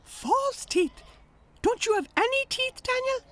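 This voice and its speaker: A high, squeaky little farm machine chatters eagerly. He is small and helpful, and every line bounces with keenness. The pitch sits far above an ordinary speaking voice. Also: animated, unhurried, fairly bright tone, heavily acted.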